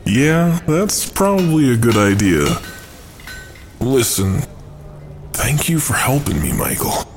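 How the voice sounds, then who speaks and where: A man's voice: mid-range voice, very animated, takes it slowly, a little hiss or hum. An actor playing a modest, hesitant and powerful male archangel in a fantasy audio drama, speaking thoughtfully. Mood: modest, unsure.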